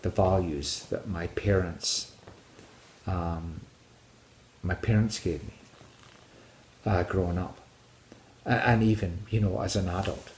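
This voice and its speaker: A man with a fairly low voice shares personal stories as a casual hiking vlogger. He rambles gently and haltingly, often repeating a phrase before moving on. The room around him is clearly echoey. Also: a little hiss or hum. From Scotland. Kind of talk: casual personal storytelling to viewers.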